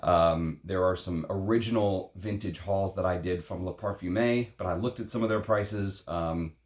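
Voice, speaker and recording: Man, late thirties, deep voice, rambling vlog chatter, blunt, swears freely. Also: normal speed. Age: 30s. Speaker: perfume channel host. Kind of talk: casual unscripted vlog talk. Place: at home in Texas.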